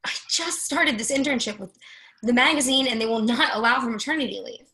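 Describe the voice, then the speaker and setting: A woman's voice: on the high side, brisk, bright, forceful tone, low-quality recording. A woman playing an anxious, candid, teasing friend in a conversational, wry play reading. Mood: upset, venting. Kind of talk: readers theatre play reading.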